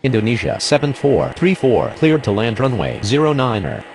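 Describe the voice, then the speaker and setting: A creaky-voiced airline radio operator in a flight game, acted by a man. He gives clipped, businesslike calls and clearances. A faint vehicle-like noise sits behind him. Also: medium pitch, sing-song, moderate pace. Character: brisk, businesslike male airline pilot and air traffic controller. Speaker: pilot and air traffic controller voice in a game.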